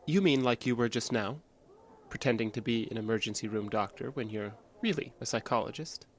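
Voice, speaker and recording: An actor playing a cold, detached man in an audio drama, calm and precise, hiding violent tendencies behind an evasive, flat manner. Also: mid-range voice, a little fast, natural voice. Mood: guarded, dry.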